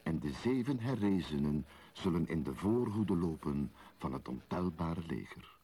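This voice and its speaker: An actor playing a solemn storyteller of a mystical legend, narrating poetically and speaking straight to the listener in a radio play. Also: male voice, low voice, lively intonation, moderate pace, mellow, husky. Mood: solemn, mysterious. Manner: solemn, incantatory, addressing the listener directly.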